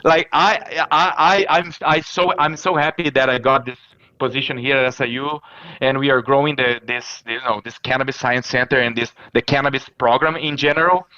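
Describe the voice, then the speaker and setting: Bright-voiced Brazilian man, halting speech. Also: medium pitch, fairly even intonation, dull audio. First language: Portuguese. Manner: halting, many fillers and restarts. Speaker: professor guest. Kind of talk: interview answers.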